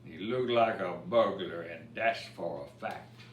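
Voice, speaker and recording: A man reading a children's picture book of Cajun dialect verse aloud, telling it expressively with asides about the pictures. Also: deep voice. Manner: expressive storytelling, pausing to point out pictures. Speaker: story time reader. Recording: roomy, distant mic with faint noise.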